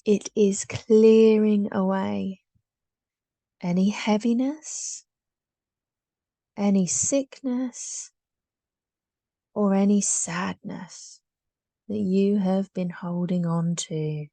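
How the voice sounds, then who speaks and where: Soft soothing lady doing a guided meditation, super slow w long pauses, really dry close sound. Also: medium pitch, fairly even intonation, vocal fry, dry recording. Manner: soothing, unhurried, with long pauses between short phrases.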